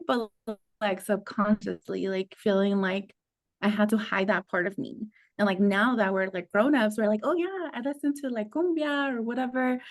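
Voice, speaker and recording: A young adult woman, a coach who grew up in the United States with Mexican parents, talking casually on a podcast with frequent "like" fillers and easy laughter. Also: mid-range voice. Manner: chatty, laughs often, many "like" fillers. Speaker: guest, abundance coach.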